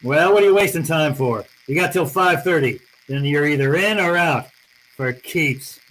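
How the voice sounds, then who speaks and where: An actor playing a tough, wisecracking hard-boiled detective in an old-time radio drama, curt, sardonic and slangy. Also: male voice, a little high, moderate pace, lightly acted, poor audio. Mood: hard, threatening.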